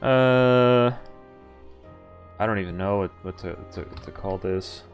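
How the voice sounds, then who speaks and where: A man's voice: fairly low pitch, soft background music. A man streaming a game, reading visual novel text aloud and adding casual commentary. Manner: halting asides, casual swearing.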